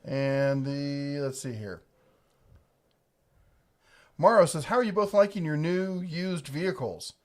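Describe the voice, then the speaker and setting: A man's voice: fairly high pitch, expressive, slow, pauses often. A man casually chatting on a livestream, reading viewer comments in a laid-back, rambling, jokey way. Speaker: livestream co-host.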